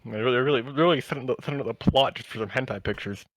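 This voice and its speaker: A man's voice: mid-range voice, racing, a bit bright, dry recording. Slangy young man, close dry sound. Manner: slangy, interjecting. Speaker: podcast co-host. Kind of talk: casual banter.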